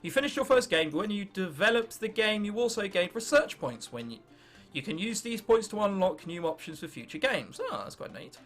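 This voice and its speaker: Young man, English accent, bright tone, lively intonation, casual gaming commentary, jokey, music in background. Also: high-pitched, fairly quick. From England. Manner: jokey, sweary, self-interrupting. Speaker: gaming video host. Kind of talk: casual let's play commentary, reading game text aloud.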